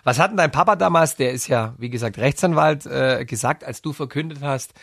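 A man's voice: medium pitch, fairly quick, vocal fry, dry recording. A man from Bavarian Swabia hosting a breakfast radio interview, jovial and asking playful questions. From Bavarian Swabia, Germany. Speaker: radio host. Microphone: studio microphone. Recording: dry radio studio.

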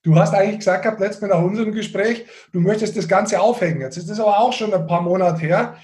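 A man's voice: sits high, fast talker, a little mellow. A man hosting a sports podcast, chatting casually with a teasing, sarcastic manner. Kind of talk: casual bantering podcast chat.

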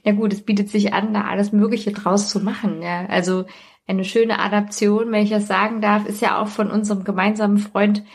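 A woman's voice: medium pitch, flat delivery, brisk, creaky, husky. A woman chatting casually and anecdotally on a podcast. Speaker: podcast co-host or guest.

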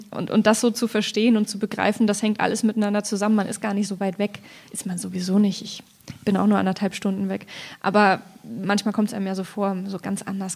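Reflective woman with a dark, mellow voice. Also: mid-range voice, gravelly. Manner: reflective, informal, thinking aloud. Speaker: poet in discussion. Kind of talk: spontaneous literary conversation.

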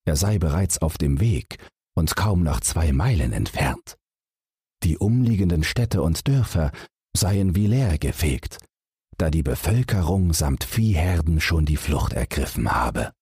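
Deep, very husky male storyteller. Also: animated, unhurried, warm tone, no echo, clean studio sound. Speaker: audiobook narrator. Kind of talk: scripted fairy-tale narration for bedtime.

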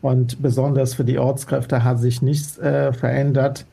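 Earnest man, gravelly low-key voice. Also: level tone, a little slow, dark tone. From Afghanistan. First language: Dari. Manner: earnest, many hesitation fillers. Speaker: guest, former interpreter. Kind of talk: radio interview answers.

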